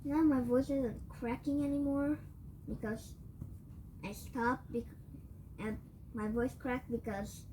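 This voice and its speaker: Slow, mellow woman rambling through a story. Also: on the high side, a little hiss or hum, rough recording. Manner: rambling, with frequent self-corrections; clears throat often. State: voice tiring and cracking. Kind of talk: improvised fan-fiction retelling.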